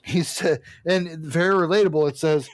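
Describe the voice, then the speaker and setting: A man's voice: a little high, moderate pace. A man co-hosting a podcast, casually recapping comics in an irreverent, profane, jokey way. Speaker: podcast co-host.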